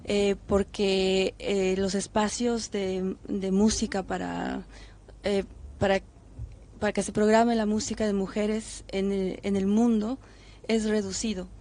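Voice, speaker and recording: A woman's voice: medium pitch, level tone, slow pace, a little mellow. A woman answering an interview question hesitantly, with many fillers.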